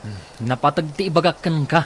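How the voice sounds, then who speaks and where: Man acting a grumbling, exasperated husband, animated delivery. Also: on the high side, brisk, background noise, lightly acted. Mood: disapproving. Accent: Ilocano. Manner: grumbling, complaining. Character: exasperated husband nagging about his wife's flashy outfits. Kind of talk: Ilocano radio comedy drama acting.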